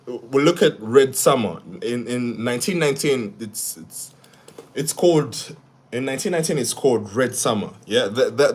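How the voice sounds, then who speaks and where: A young man from Uganda, hosting a live stream where he talks through social issues and reads viewer comments, often repeating words and restarting his sentences. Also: medium pitch. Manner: asks "yeah?" after each point. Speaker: livestream host.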